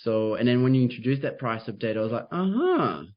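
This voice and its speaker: A young Brazilian man speaks English with a clear Portuguese accent. He talks with upbeat energy and loose phrasing, full of fillers. The video call audio is dull and lo-fi, and his pitch sits in the middle range. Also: very animated, fairly quick. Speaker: real estate agent. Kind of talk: interview over video call.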